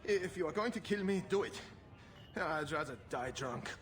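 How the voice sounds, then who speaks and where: A man's voice: a little high, animated, normal speed, lightly acted. An actor playing a naive, hapless runaway mage in his twenties in a video game, nervous and stammering, with boyish bluster. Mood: self-pitying. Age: 20s. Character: hapless, naive young runaway mage, would-be ladies' man.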